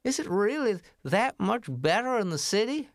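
A man doing a timid halting country mouse. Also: high-pitched, sing-song, dry recording, clean studio sound, voice pushed up. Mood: wondering. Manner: hesitant, halting, folksy.

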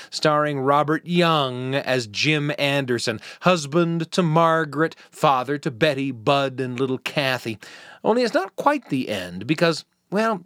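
Animated male storyteller host. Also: on the high side, no room sound, studio quality. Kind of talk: storytelling narration.

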